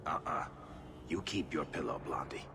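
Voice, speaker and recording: A man's voice: rumbling low, moderate pace. An actor playing a wry, irreverent male companion in a fantasy game, with a dry, sardonic way of talking. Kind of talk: dramatic game dialogue.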